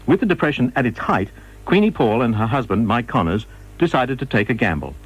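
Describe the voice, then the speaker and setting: A man's voice: mid-range voice, very animated, brisk, husky, rough recording. A man presenting a radio documentary in scripted narration.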